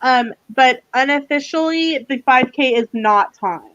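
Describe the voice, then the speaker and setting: A woman's voice: high voice, a little slow, a bit bright, no room sound. A woman with a Southern US accent chatting casually and teasingly as co-host of a livestream, in a folksy way. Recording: dull, lo-fi livestream audio.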